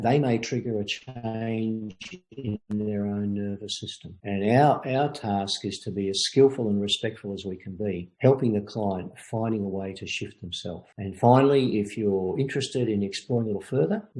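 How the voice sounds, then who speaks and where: An older man coaching a group in a webinar, talking in a measured, thoughtful way with frequent pauses. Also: fairly low pitch, darkish tone, poor audio. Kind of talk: informal lecture and Q&A.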